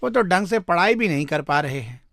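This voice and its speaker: A man's voice: high-pitched, lively intonation, fairly quick, creaky, fairly bright tone, no room sound, studio quality, full character voice. A man voicing a chatty neighbourhood man in a moral story, emphatic and familiar in how he addresses people. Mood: complaining.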